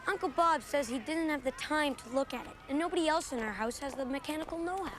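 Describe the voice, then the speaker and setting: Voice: high voice, fast talker, fairly bright tone, slight background noise. A performer playing an earnest, inquisitive schoolboy in a 1930s television period drama. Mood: curious, earnest.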